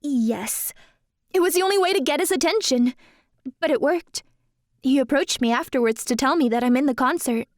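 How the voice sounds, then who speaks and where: A woman plays a polite young dancer with magical gifts in an audio drama. Her high voice is sincere and careful, and the recording is close and dry. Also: expressive, normal speed, no room sound. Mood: indignant, earnest.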